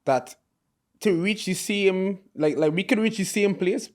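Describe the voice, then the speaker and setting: Higher-voiced male podcast host. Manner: affirming, quick agreement and friendly questions. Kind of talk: casual interview chat.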